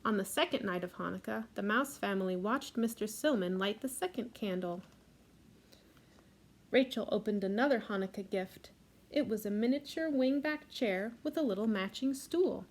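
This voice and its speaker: A woman reading a picture book aloud for a library storytime, adding gentle asides about the pictures. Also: mid-range voice, moderate pace.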